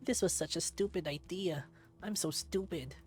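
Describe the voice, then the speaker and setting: A man's voice: a little high, normal speed, pitched above the performer's own voice, close to an everyday voice. A man voicing a frightened, earnest young boy fox in a visual novel, pleading and anxious. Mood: frustrated, scared. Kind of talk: visual novel character voice acting.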